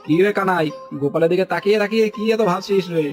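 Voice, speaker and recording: A man's voice: high-pitched, fast talker, lightly acted. A man voicing an authoritative village headman in a cartoon, speaking in a commanding, direct way. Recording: music bed behind the voice.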